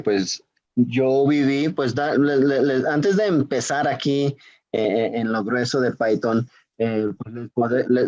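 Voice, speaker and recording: Chatty lecturer with an unusual accent. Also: male voice, medium pitch, darkish tone, highs cut off. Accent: slightly foreign-sounding Spanish. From Colombia. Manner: chatty, self-interrupting, many fillers and tag questions. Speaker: university lecturer. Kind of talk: online class lecture. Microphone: video call.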